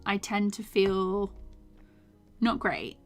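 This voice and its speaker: A young woman chatting casually while she animates on a live stream, her voice tired from a day of talking, often laughing and drifting into soft mumbles as she works. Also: medium pitch, animated, drawn-out words, faint music behind. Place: small home room, indoors. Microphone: desk microphone with foam cover.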